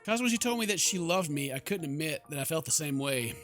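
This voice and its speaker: Male let's-play host, theatrical and joking, bright forceful tone, reading and riffing over background music. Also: a little high, expressive, quick pace. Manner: jokey, theatrical. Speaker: gaming video host. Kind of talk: game commentary and reading aloud. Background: game music.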